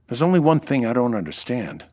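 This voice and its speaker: A man's voice: medium pitch, big pitch swings, a little fast, darkish tone, natural voice. A man with an American accent playing a dry, wisecracking, hard-boiled nightclub owner in a 1940s-style radio detective drama. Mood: explaining. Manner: dry, wisecracking, world-weary.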